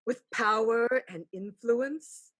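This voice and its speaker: Woman playing a slow-speaking, knowing elder ancestral spirit. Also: a little high, a bit bright, low-quality recording. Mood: firm counsel. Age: older. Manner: deliberate, knowing, counselling. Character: ancestral spirit aunt, elder woman, wise, teasing, ruthlessly pragmatic. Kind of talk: staged play reading, dramatic and measured.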